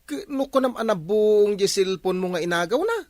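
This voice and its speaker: Man acting a lively, joking male buddy. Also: high-pitched, normal speed, no room sound, studio quality. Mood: teasing. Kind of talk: Filipino audio drama acting.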